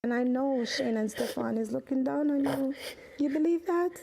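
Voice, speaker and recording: A woman's voice: high voice, normal speed, vocal fry, dark tone. A woman from Jamaica, giving personal testimony in an interview. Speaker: bereaved mother being interviewed.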